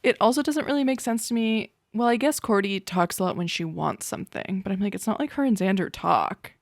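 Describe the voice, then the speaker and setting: A woman's voice: mid-range voice, brisk, gravelly, dry recording, clean studio sound. A woman podcast co-host chatting casually about a TV episode in an informal, blunt, slangy way. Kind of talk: casual TV recap chat.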